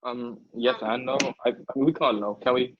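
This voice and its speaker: A man's voice: medium pitch, fairly even intonation, moderate pace, noisy background. A young man bantering in a casual podcast, joking and slangy. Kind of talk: casual banter on video call. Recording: dull lo-fi mic.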